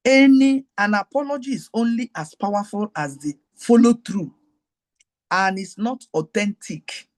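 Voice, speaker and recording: A woman's voice: a little slow, darkish tone. A woman with a Nigerian accent hosting her show, giving a teaching talk mixed with personal stories and repeating her main points to listeners on a group call. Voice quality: high-pitched. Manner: digressive, repeats key phrases, talks straight to listeners. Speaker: host.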